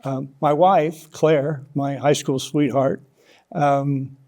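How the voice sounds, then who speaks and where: An older American man answering as an interview guest, reflective and halting as he tells stories in a conversational way. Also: medium pitch, flat delivery, a little mellow. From United States, Kansas. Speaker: interview guest, author and former sports journalist.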